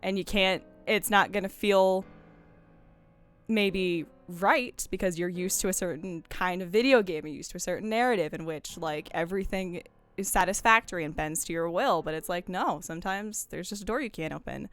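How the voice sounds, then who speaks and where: Woman, smooth clear voice, casual game chat, rambling, self-interrupting, soft music behind. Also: lowish voice, normal speed. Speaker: host of a game discussion stream. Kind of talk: casual chat about video games.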